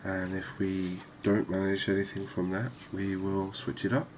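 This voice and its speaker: A man giving unhurried, murmured ASMR commentary as he hosts a fishing game series. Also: sits low, completely flat delivery, a little hiss or hum, rough recording. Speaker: host of a gaming series.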